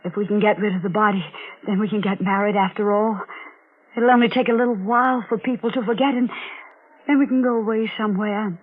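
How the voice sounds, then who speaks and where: An actress playing a distraught, jealous young woman who killed her sister, speaking in halting, fretful repetitions in 1940s radio style. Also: mid-range voice, fairly even intonation, a little hiss or hum, natural voice. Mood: pleading. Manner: halting, fretful, repeating herself. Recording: tinny band-limited 1940s radio with music.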